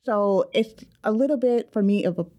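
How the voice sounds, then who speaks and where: A woman born in Guyana, speaking in a measured, earnest way about identity in a panel discussion. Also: medium pitch, mellow. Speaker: panel guest. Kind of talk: reflective personal discussion.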